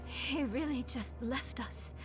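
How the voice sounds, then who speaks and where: A woman playing an earnest, indignant young girl in a dark fantasy game, speaking urgently. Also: high voice, slow, over music. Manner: urgent, questioning.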